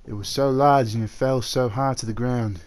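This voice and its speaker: Soft, coaxing dragon suitor, played by a man. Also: medium pitch, steady tone, normal speed, lightly acted. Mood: eager, fond. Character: male dragon in human form, eager possessive suitor. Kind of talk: roleplay ASMR audio drama, addressing the listener.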